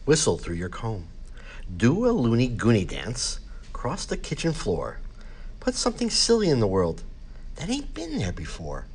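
A man's voice: mid-range voice, a little hiss or hum. A man reciting light verse in a playful, sing-song way. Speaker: volunteer poetry reader, teacher. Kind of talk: poetry recitation.

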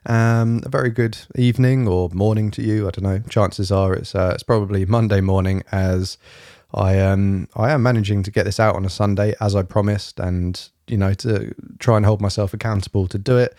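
A young man hosting his solo podcast in a rambling, fairly monotone way, with lots of fillers and a slightly rough throat. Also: fairly low pitch, moderate pace, dry recording, clean studio sound. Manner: rambling, many fillers and restarts. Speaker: podcast host. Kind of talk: casual solo podcast chat. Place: home, evening, quiet room.

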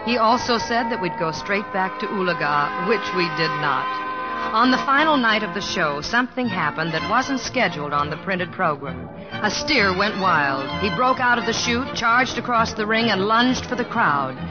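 Dull old-radio actress as gentle young wife. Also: female voice, mid-range voice, animated, moderate pace, loud music behind the voice, lightly acted. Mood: storytelling, excited. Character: Betty Rogers, affectionate, practical young wife telling her story. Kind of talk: 1950s radio drama acting and narration.

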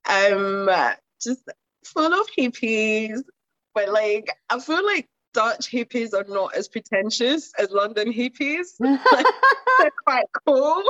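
A woman's voice: a little high, lively intonation, slow, a bit bright, no echo, dull audio. Rambling Zimbabwean woman poet, dry sound. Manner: rambling, with frequent fillers and laughs. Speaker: guest poet. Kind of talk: casual conversational interview.

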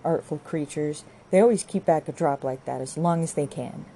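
A woman voicing a brisk, hard, scornful young farm wife who scolds and orders in an audiobook. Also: low voice, warm tone, a little hiss or hum. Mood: impatient, practical. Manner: scolding, bossy, rustic turns of phrase. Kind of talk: audiobook character dialogue.

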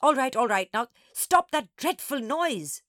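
A woman voicing a stern, grumpy, bony schoolteacher who snaps out sharp commands in a children's story. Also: high-pitched, lively intonation, measured pace, dry recording, studio quality, voice pushed up. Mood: exasperated, stern.

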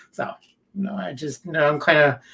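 A man with a fairly high voice talks openly over a video call. He speaks with animation and lightens things with humor. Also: slow, gravelly. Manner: candid, animated, with bursts of humor. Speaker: guest. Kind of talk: casual video call chat.

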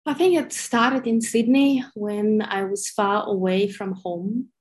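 Woman, from Ukraine, coaching guest, warm, encouraging, mid-pitched voice. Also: fairly even intonation, slow pace. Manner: warm, encouraging, slightly non-native phrasing. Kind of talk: conversational interview.

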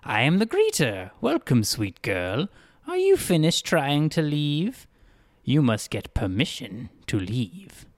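A man's voice: fairly high pitch, wildly swooping pitch, slow pace, no room sound, clean studio sound, voice pushed up, full character voice. A man voicing an odd, neckless male town greeter who is relentlessly cheerful and speaks in a sing-song, overly polite way in a storybook reading. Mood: eerily cheerful.

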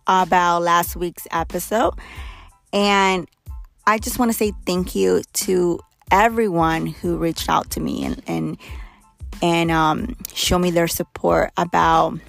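A young woman hosting her own podcast in a blunt, candid, chatty way, hoarse as she loses her voice. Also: on the low side. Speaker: podcast host. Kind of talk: casual solo podcast talk.

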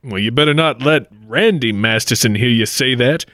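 A man's voice: medium pitch, moderate pace, a bit bright, no echo, studio quality, natural voice. Man playing brash, cocky adventurer hero. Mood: polite, wry. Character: brash heroic adventurer, polite but cocky. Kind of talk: 1940s-style radio play acting.

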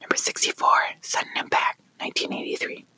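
A whispering ASMR creator softly reading a countdown list, hushed and unhurried, pausing to apologize for small slips. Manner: soft whispering, quietly acting out each quote before the title, apologizing for slips.

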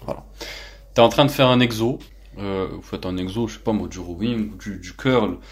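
Young guy rambling through a story, kinda low voice, stumbles on words. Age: young adult. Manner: halting, repeats words while telling stories. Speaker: podcast co-host. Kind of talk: casual chat.

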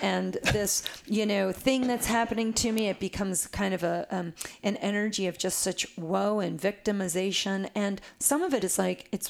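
A woman who works as a body worker, speaking in casual conversation with a raspy voice and a reflective manner full of fillers. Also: mid-range voice, darkish tone.

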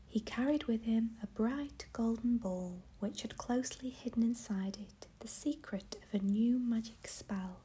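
A woman voicing a gracious, commanding fairy queen in a soft whisper. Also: fairly high pitch, a little slow, hardly any pauses, slightly rough. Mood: stately. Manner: whispered, stately.

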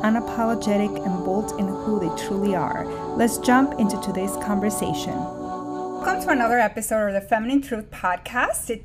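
A woman hosting a podcast, reading a rhythmic scripted intro and then chatting casually with her guest. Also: fairly high pitch. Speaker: podcast host.

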